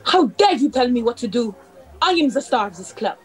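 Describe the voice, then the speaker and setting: A woman's voice: fairly high pitch, sing-song, brisk, breathy edge, faint music behind. A woman playing a self-centered French nightclub singing star in an amateur radio play, haughty and imperious. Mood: indignant.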